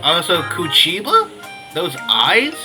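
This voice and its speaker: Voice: mid-range voice, huge pitch swings, takes it slowly. A gaming creator of unclear sex giving casual reactions over gameplay, with wry, muttered asides. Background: game music.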